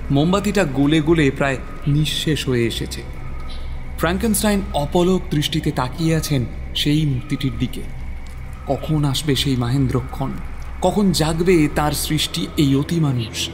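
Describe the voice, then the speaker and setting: A male narrator. He tells a horror story in a dramatic, suspenseful manner, with clear music playing behind him. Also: a little high, normal speed. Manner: theatrical, suspenseful.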